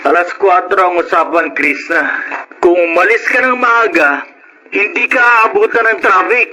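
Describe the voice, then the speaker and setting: A stern, blunt Filipino older brother in a radio drama. His voice is bright and forceful and sits a little high for a man. Faint noise runs behind him. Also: moderate pace, poor audio. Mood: reproachful. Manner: scolding, blunt.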